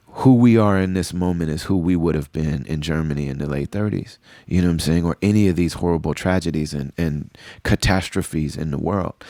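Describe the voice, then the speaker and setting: Deep-voiced man, earnest solo reflection. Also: warm tone, dry recording, clean studio sound. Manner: earnest, repeats phrases, frequent "you know what I'm saying". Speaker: podcast host.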